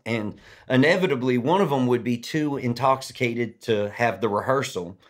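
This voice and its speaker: A man in his forties from Massachusetts, a singer-songwriter guest on a podcast, telling stories in an easygoing, rambling way that trails off softly. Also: medium pitch, moderate pace. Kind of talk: casual storytelling chat.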